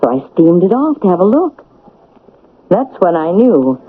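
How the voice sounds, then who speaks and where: An actress playing an anxious, insistent, sharp-witted wife in a radio drama, with a husky voice. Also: female voice, fairly low pitch, sing-song, a little slow, exaggerated voice. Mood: confiding. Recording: narrow, muffled old radio broadcast.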